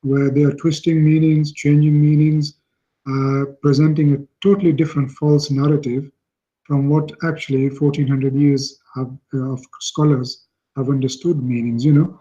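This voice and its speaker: A man's voice: on the high side, flat delivery, moderate pace, dark tone. Hesitant male caller on a dull line. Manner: hesitant, many fillers. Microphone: call over the internet.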